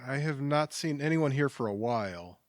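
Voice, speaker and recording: An actor playing a wry but kindly stranger in an audio drama, conversational and dry-witted. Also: male voice, mid-range voice, lively intonation, moderate pace, dry recording, natural voice. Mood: matter-of-fact. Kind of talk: audio theatre acting.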